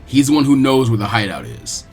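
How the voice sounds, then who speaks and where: Man as a grown ninja mentor, low pitch, calm, assured, storybook reading, faint music. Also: a little fast, vocal fry. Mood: decisive. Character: calm adult male ninja team leader.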